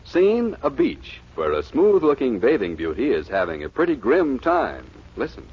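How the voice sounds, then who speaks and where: A male radio announcer of the 1940s reading scripted copy. His delivery swings widely in pitch, with a husky edge, on a muffled old recording. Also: wildly swooping pitch, normal speed.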